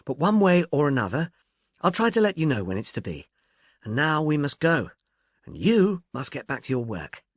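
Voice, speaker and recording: A businesslike male police inspector, voiced by a male narrator, putting questions in a probing, official way. The delivery is dispassionate in wording yet varied in pitch, recorded dry as audiobook dialogue. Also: big pitch swings, normal speed, no echo. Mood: brisk, official.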